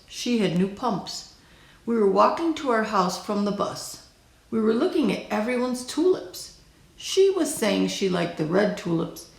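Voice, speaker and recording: Female storybook reader, mid-pitched, slow and deliberate, echoey room. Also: vocal fry. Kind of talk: expressive read-aloud of a children's picture book.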